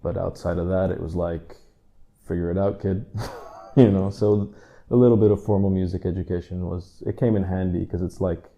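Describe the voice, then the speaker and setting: A man in his thirties from the Netherlands, a drummer answering interview questions in a relaxed, rambling way with many asides. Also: deep voice, moderate pace, vocal fry. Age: 30s.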